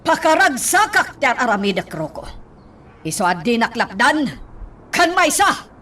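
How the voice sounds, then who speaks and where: High, snappy, defiant wife. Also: female voice, sing-song, a little slow, sharp tone, heavily acted. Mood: defiant, irritated. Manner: snappy, argumentative. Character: defiant, hot-headed gambling wife in a rich household. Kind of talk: Ilocano radio drama acting.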